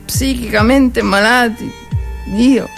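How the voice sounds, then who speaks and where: A woman's voice: on the high side, fairly even intonation, slow, creaky. Anguished devout woman at the front, theatrical monologue, pleading, faint music behind. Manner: dramatic, pleading, addressing God.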